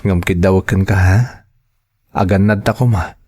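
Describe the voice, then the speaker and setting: An actor playing a soft-hearted married man devoted to his lover, hesitant and placating, in an audio drama. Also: sits low, fairly even intonation, moderate pace. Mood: tender, reassuring.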